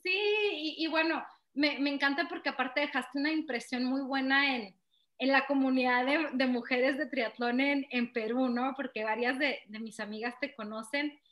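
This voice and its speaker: A woman's voice: high-pitched, fairly even intonation, normal speed, a bit bright. A young woman from Mexico hosting a podcast interview, chatting and telling stories with lots of fillers. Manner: chatty, storytelling with many fillers. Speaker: podcast host. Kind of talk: casual interview chat.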